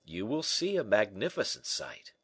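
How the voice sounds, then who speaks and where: A man voicing a shrewd, brisk gentleman in an audiobook, animated, questioning and a little impatient. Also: mid-range voice, vocal fry, breathy edge, no room sound, voice pushed up, heavily acted. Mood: curious. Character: D'Artagnan, brisk, shrewd, exclaiming gentleman friend. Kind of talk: audiobook character acting.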